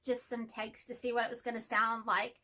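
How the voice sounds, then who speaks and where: Nasal Texan woman, bright and high. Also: little pitch movement, a little fast. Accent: Texas. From Texas. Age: middle-aged. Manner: rambling, friendly, self-deprecating. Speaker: hobby vlogger and math teacher. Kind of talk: casual chat to camera.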